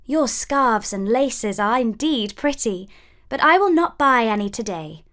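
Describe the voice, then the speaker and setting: A woman's voice: on the high side, lively intonation, measured pace, fairly bright tone. A woman voicing an innocent teenage princess, polite and sweet, in a storybook tale.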